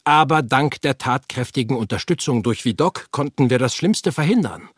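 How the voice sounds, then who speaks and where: An old-timey gentleman scholar sleuth, radio play, formal and earnest, bit husky, dry sound. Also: mid-range voice, animated, moderate pace, no echo. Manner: formal, old-fashioned gallant phrasing. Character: Jacob Grimm, courteous, earnest nineteenth-century scholar turned investigator. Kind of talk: scripted interview in an audio drama.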